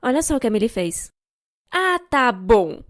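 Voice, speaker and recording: A Brazilian woman narrating a scripted animated storytime fan dub in an expressive, theatrical, sarcastic manner. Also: a little high, moderate pace.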